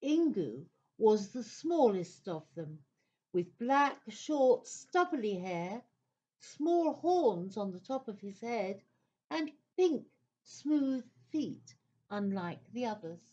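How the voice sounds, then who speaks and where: A woman's voice: on the high side, big pitch swings, slow pace, dark tone, highs cut off. A woman narrating a scripted children's story as part of an audio play.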